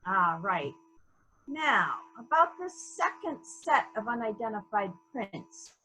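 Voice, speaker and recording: A methodical female detective played by a woman runs a murder inquiry. Her voice is fairly high and very lively in pitch, as she muses deliberately and asks pointed questions. It sounds like a dramatic mystery reading. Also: exaggerated voice, low-quality recording. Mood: skeptical. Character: female police detective in charge, probing and methodical. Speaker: actor in a library's dramatic reading.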